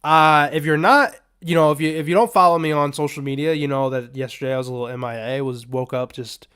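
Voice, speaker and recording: A man hosting a sports betting podcast in a loose, rambling, casual way, his voice a little raspy and strained as he is under the weather. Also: a little high, fairly even intonation, fast, a bit bright. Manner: rambling, loose, filler-heavy asides. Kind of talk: casual unscripted betting picks talk.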